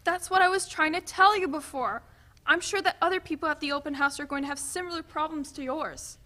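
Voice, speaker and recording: A woman plays a helpful guiding spirit in a stage play reading. The voice is high and bright, talking at a quick clip. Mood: encouraging. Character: brisk, helpful female spirit who guides people. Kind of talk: dramatic reading of a student play.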